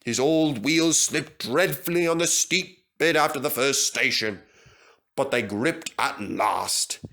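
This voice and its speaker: A man reading a children's story aloud as an expressive narrator. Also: medium pitch, slow, vocal fry. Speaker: story reader.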